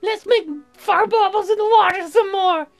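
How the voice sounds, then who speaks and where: Man putting on a shrill, goofy colonist woman. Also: fairly even intonation, moderate pace, gravelly, soft background music. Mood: silly, rambling. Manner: goofy, crude, dim-witted rambling. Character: crude, silly, excitable childlike colonist woman Sarah. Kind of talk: comic visual novel character voice.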